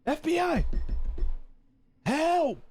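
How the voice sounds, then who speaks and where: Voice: high-pitched, very animated, extremely slow, a little mellow. A game streamer giving casual live reaction commentary with playful, mock-dramatic interjections.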